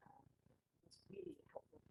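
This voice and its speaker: A woman's voice: normal speed, warm tone, a little hiss or hum. A woman giving an academic conference presentation at a workshop, explaining with informal asides. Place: live workshop room, relayed to video call.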